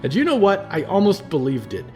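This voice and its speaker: A man's voice: on the low side, lively intonation, unhurried, soft background music. A man voicing an angry, guilt-torn young man who burns when enraged. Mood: furious. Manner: strained, rising, outbursts.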